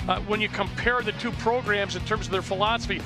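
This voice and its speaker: A male color commentator talks through football plays in a folksy, conversational way. His voice is high for a man, with loud music and outdoor sound underneath. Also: steady tone, fast talker. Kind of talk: conversational sports analysis. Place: sports broadcast at an outdoor stadium.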